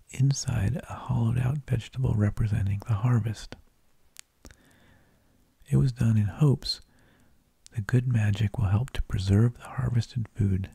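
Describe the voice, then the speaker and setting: A man whispering an ASMR narration in a hushed, breathy, gravelly voice, unhurried, with drawn-out phrases and pauses, close to the microphone. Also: fairly low pitch, dry recording. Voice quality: breathy, mostly unvoiced whisper with gravelly creak. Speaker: ASMR host.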